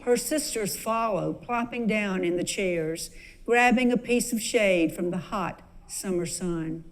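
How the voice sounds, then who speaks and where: A woman giving a deliberate, literary dramatic reading as a narrator of her own play. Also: medium pitch, low-quality recording.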